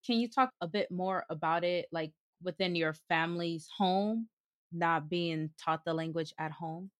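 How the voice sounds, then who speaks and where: A woman's voice: fairly low pitch, a little slow, no room sound, studio quality. A woman hosting a podcast, asking encouraging interview questions. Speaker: podcast host.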